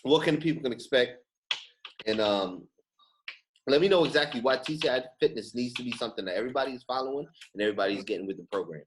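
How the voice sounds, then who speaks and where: A man's voice: medium pitch, fast, a little hiss or hum. A man hosting a podcast, chatting casually and emphatically, often repeating his phrases for stress. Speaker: podcast host. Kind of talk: casual podcast interview chat.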